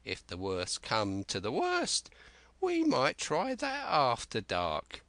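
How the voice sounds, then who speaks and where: A man reading an audiobook and voicing a rambling, excitable old castaway who speaks in rustic dialect. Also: mid-range voice, takes it slowly, no room sound, full character voice. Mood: wary. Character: wild-eyed old male castaway, rambling and eager.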